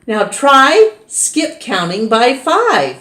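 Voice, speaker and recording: A woman voicing a cheerful female schoolteacher in a storybook, with a bright sing-song manner. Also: a little high, very animated, extremely slow, pitched above the performer's own voice, heavily acted. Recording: roomy echo.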